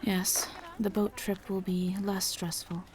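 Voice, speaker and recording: A woman playing a gentle, courteous female vampire in a roleplay audio drama, soft-spoken, polite and a little hesitant. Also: mid-range voice, level tone, slow, slight background noise, natural voice. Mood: calm, considerate.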